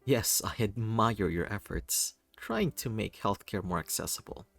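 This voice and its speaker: A man's voice: on the low side, lively intonation, measured pace, vocal fry, a bit bright, dry recording, soft background music, exaggerated voice. Man playing an awkward, starstruck fan. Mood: nervous admiration. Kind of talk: visual novel character reading.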